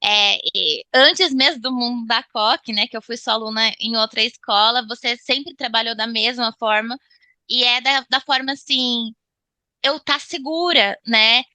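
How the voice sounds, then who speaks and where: A Brazilian woman speaking Portuguese with a Brazilian accent, giving a casual interview in a halting way, repeating words as she searches for phrasing. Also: on the high side, pushed and bright, no room sound. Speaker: interview guest, English student.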